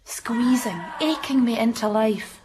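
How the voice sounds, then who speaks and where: A woman playing an insistent, intimate ghostly daughter in a radio drama, speaking in a hushed, coaxing way. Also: on the high side, a little slow, natural voice. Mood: eerie, insistent. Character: ghostly grown daughter, intimate and insistent, haunting her mother. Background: music.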